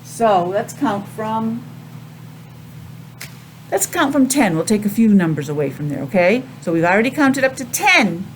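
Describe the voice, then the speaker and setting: American woman, children's teacher, slow pace, lively swooping pitch, sing-song questions, noticeable background noise. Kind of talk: children's calendar and weather lesson.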